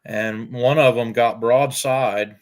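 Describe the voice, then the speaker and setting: An older man, telling farm stories in a folksy, unhurried way with frequent pauses. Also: medium pitch, fairly even intonation. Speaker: storyteller guest. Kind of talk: casual storytelling.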